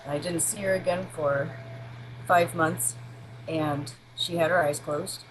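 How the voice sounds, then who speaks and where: A middle-aged woman with a distinctly low voice speaks as an interview guest. Her delivery is blunt and matter-of-fact, in a casual personal style, with some faint noise behind her. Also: steady tone, measured pace. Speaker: interview guest, palm reader.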